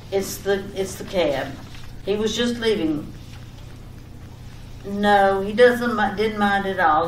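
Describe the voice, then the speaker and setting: A woman's voice: medium pitch, fairly bright tone. A woman playing a fussy, scatterbrained wife in an old-time radio comedy sketch, giving repetitive, over-detailed instructions. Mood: polite, chatty. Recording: echoey room, distant mic, faint noise.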